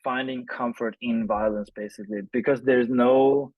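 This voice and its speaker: Interview guest: male, thirties, mid-pitched, informal halting storyteller, slightly dull close recording. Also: steady tone, no echo. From Sweden. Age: 30s. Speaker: interview guest, film director. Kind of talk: casual personal storytelling in an interview.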